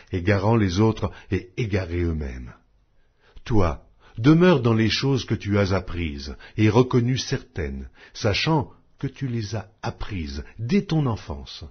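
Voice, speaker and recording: A man reading scripture from a script as an audio Bible narrator, in a solemn, declamatory manner with measured pauses. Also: fairly low pitch, breathy edge, dull audio.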